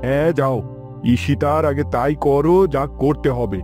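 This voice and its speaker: A man's voice: medium pitch, steady tone, normal speed, darkish tone, faint music behind, natural voice. A man playing a brooding, protective king in a dramatic, commanding cartoon style. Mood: grave, commanding. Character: brooding, protective king haunted by losing his daughter.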